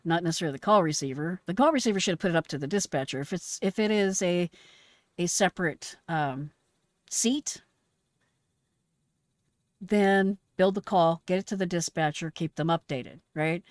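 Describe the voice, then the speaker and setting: A woman's voice: lowish voice, dry recording, clean studio sound. A woman giving frank, instructive, emphatic commentary as a host and retired emergency dispatcher, analysing a call.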